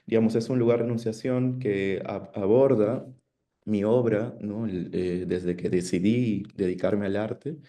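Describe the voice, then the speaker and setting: A man's voice: lowish voice, fairly even intonation, dark tone. A man from the Amazon, presenting his art practice on a video call in a thoughtful, hesitant way. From Peru, Amazon region. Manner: thoughtful, hesitant, many pauses and tag questions. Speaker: guest artist. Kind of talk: panel presentation.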